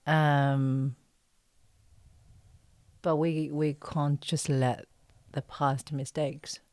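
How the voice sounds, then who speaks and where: Woman, low voice, slow and halting, casual podcast guest, close dry sound. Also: level tone, darkish tone, no room sound. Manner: hesitant, searching for words in short broken phrases. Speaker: guest actress being interviewed. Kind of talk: casual podcast interview chat.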